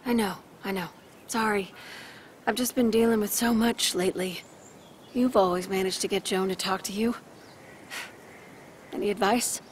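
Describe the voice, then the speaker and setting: A woman's voice: mid-range voice, fairly even intonation, measured pace, pauses often, a little hiss or hum, natural voice. An actress playing a stressed, defensive mother in a dramatic video game, guarded and curt in her delivery. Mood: weary, frustrated.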